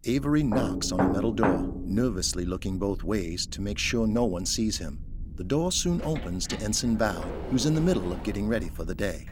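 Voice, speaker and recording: A man narrating a scripted audio drama in a measured, descriptive storytelling manner. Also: fairly low pitch, sing-song. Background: music.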